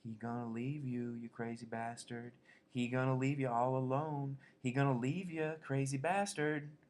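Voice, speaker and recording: A man's voice: medium pitch, moderate pace, voice pushed up, natural voice. A giant imaginary rabbit taunts and sneers with open scorn, as a man puts it on while reading. Its tone is mocking and relentless, a storybook creature. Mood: scornful.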